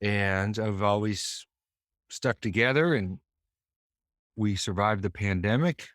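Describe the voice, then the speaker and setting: A man's voice: on the low side, slow pace, fairly bright tone, no room sound, studio quality. A man from Boston, a musician, talking in a thoughtful, meandering way with many restarts as a guest over a video call. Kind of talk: casual interview chat.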